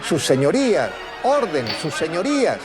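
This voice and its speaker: A man's voice: a little high, very animated, moderate pace, over music. An actor playing a formal male congress speaker presiding over a tense session, in a declaratory historical audio drama style. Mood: calling for order.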